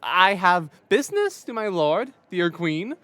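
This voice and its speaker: An actor playing a fussy, pompous courtly lord who flatters effusively and repeats himself in a Shakespearean audio drama. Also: mid-range voice, a little slow, no room sound. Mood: effusive, flattering.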